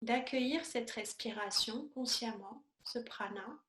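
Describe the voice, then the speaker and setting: A woman teaching yoga, guiding a meditation in a calm, soothing, unhurried way with pauses between short phrases. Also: fairly high pitch. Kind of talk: guided yoga and meditation instruction. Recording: echoey room sound.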